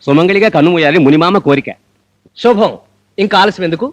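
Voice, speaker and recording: An actor playing a brusque, eager groom who gives orders in a film comedy. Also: male voice, high-pitched, fast talker, muffled recording, lightly acted. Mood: insistent.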